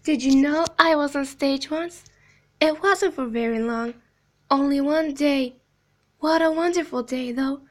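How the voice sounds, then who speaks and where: A woman voicing an eerie animatronic girl clown from a video game, soft-spoken, falsely sweet and sinister, speaking in a slow, deliberate, hushed storytelling manner. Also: high-pitched. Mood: wistful, eerie. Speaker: amateur voice actor. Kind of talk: video game character monologue.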